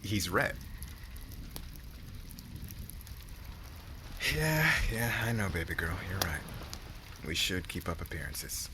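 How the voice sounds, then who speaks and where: Guy acting as a low gravelly warrior boyfriend, teasing and sweet, slow intimate asmr roleplay, noisy ambience behind him. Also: big pitch swings. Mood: playful, tender. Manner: casual, teasing, slangy, murmured endearments. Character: cocky, affectionate young warrior husband, teasing and possessive.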